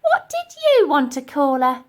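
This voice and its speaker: Daft storybook cleaning lady, acted by a woman reader: high-pitched, chirpy, guileless, very lively. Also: measured pace, exaggerated voice. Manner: dim, cheerful, guileless.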